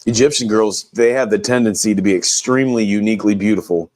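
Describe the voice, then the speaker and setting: A man's voice: on the low side, quick pace, darkish tone, no room sound. A man chatting casually on a stream, crude and jokey, laughing often. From United States. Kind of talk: casual chat.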